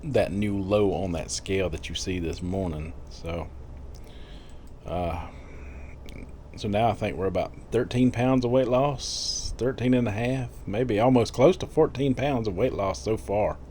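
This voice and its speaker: A man in his fifties talks casually to his viewers, rambling along with plenty of fillers and soft chuckles. His voice sits a little low. A faint noise runs under the recording. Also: a little slow. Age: 50s. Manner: chatty, rambling, with frequent fillers and soft laughs. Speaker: vlogger. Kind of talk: casual diet vlog.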